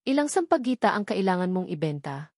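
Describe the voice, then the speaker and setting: A woman's voice: lowish voice, sing-song, fairly quick, a little mellow, dry recording. A kind, hopeful young woman, read by a female storyteller, speaks with tender earnestness. She is the grateful heroine of a sentimental storybook tale. The sound is dry and close.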